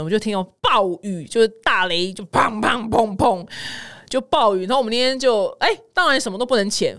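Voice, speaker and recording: A woman with a Taiwanese accent hosting a casual, comedic news podcast, chatty, playful and opinionated as she mixes in personal anecdotes. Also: on the low side. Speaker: host of a news commentary podcast.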